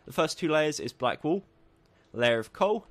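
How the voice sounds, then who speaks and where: A man with a croaky voice, narrating a building tutorial as a voice-over, upbeat and lively, slowing down as he lists steps. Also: a little high. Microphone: voice-over over game footage.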